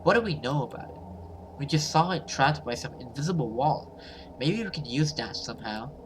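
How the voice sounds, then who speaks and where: A man's voice: mid-range voice, lively intonation, moderate pace, background noise. A man reading a horror story aloud as a gamer, narrating and voicing dialogue, sometimes stumbling and rereading. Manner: stumbles over words and rereads.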